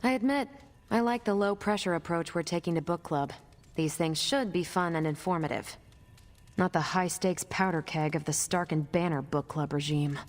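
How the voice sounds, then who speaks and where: A woman's voice: fairly low pitch, normal speed, close to an everyday voice. A woman playing a confident, wry superhero teammate in video game cutscene dialogue. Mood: amused, wry. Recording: long reverb, music underneath.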